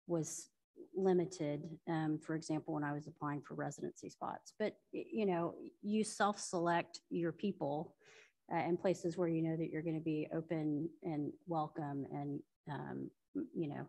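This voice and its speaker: A woman with a very low, flat, gravelly voice, heard dry and close. She answers a panel question hesitantly and thoughtfully, pausing often. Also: dry recording, low-quality recording. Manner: hesitant, thoughtful, many fillers. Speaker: surgeon on a conference panel.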